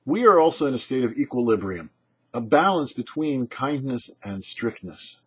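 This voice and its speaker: A man with a husky voice, giving a short scripted religious teaching as a rabbi in an emphatic, explanatory way. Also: medium pitch, big pitch swings, normal speed, fairly bright tone. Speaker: rabbi and show host. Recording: dull, muffled lo-fi audio.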